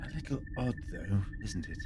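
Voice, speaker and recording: Male actor as probing alien time traveller, shrewd, low voice, questioning, audio drama, clearly noisy recording. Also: big pitch swings, moderate pace. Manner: questioning, knowing. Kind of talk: audio sci-fi drama acting. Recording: noisy home-made recording.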